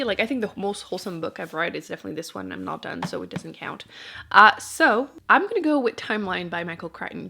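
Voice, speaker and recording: A woman's voice: a little high, gravelly, pushed and bright. A woman book vlogger talking casually and informally to camera, often rambling and interrupting herself with dry understatement. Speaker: book vlogger and channel host. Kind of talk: casual chat to camera about books.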